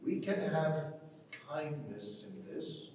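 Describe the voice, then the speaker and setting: A man's voice: on the high side, animated, darkish tone. An actor playing a stern, curt old farmer and father in a staged play reading. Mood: guarded, proud. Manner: deliberate, curt, authoritative. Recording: echoey hall, distant microphone.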